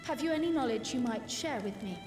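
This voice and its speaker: A young scholar-envoy acted by a woman speaks in a high voice, earnest and articulate. She is formal and polite yet determined, a game cutscene heroine ringing through a long, echoing hall with music behind her. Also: expressive, a little fast, close to an everyday voice. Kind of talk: video game cutscene acting.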